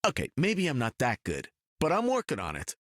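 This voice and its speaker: A confident American superhero archer for a video game. The delivery is wry and casual, with lively swings in pitch, recorded dry and close. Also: male voice, fairly quick, no echo. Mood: cocky.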